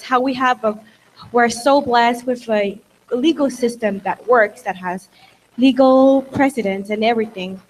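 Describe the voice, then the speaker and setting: A young woman leads a conversation on a conference stage and keeps summing up her guest's points in a friendly way. Her voice sits on the high side, with a faint hiss behind it. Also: poor audio. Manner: friendly, rephrasing and summarizing. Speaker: moderator. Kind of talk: conference moderation. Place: dark conference hall stage. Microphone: handheld mic.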